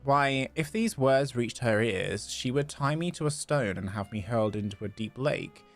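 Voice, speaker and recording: A man puts on a grandly courteous game shopkeeper who teases in ornate, theatrical phrases. The pitch swings about and the voice has a gravelly edge, over faint background music. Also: animated, moderate pace, close to an everyday voice. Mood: mock-dramatic.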